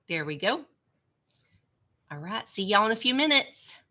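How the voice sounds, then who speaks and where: A woman with a drawl chats in a rambling, friendly way to her live-stream viewers, calling them "y'all." Her voice is bright and forward, a little nasal from congestion, and it is picked up by a small webcam at her home computer. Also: mid-range voice, very animated, a little slow. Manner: chatty, rambling, says "y'all" often. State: stuffy, congested nose. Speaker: vlogger hosting a live stream.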